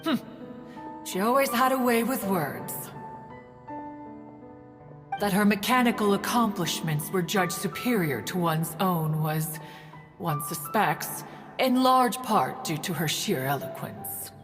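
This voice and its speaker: Haughty immortal inventor, long reverb. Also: female voice, medium pitch, lively intonation, slow pace, pauses often, over music. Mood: grudging, proud. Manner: haughty, formal, archaic, refers to self as "one". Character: proud, aloof immortal woman inventor. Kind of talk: video game cutscene acting.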